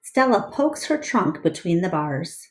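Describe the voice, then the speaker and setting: A woman teacher reading a storybook aloud to her class with expressive narration. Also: fairly low pitch, measured pace.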